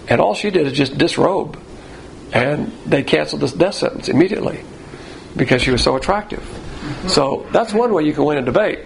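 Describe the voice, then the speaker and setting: A man teaching a Bible class verse by verse, with a gravelly edge to his slightly raised voice. He talks in a folksy, conversational way and hammers points home by repeating them. Faint noise sits under the recording. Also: fairly high pitch, low-quality recording.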